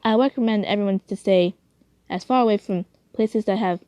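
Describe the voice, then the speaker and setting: A woman's voice: mid-range voice, normal speed, dark tone, no echo, lightly acted. A woman playing a bossy but concerned male leader in a fan story, speaking in a lecturing way. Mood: cautioning.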